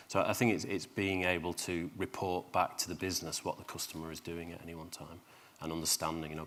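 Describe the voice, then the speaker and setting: A man speaking as a panelist in a quick, matter-of-fact way. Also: sits low, bright, forceful tone, long reverb tail. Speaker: e-commerce director, panelist. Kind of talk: panel discussion.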